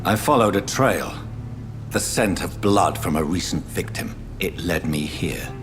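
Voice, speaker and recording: An actor playing a newly turned vampire doctor in a video game, terse, formal and grimly intense. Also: male voice, deep voice, a little slow. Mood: demanding. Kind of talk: dramatic video game acting. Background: dramatic game music.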